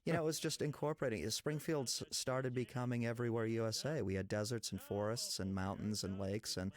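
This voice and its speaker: A man's voice: medium pitch, steady tone, a little fast. A man from New England with an American accent, speaking as a background designer in a casual audio commentary, explaining things in detail. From Massachusetts, New England, USA.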